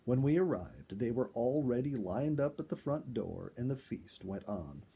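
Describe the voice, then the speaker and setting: A man reading a first-person animal story aloud in an expressive storyteller's style. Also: medium pitch, normal speed, creaky, husky. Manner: expressive, acts out dialogue. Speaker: storyteller, reader.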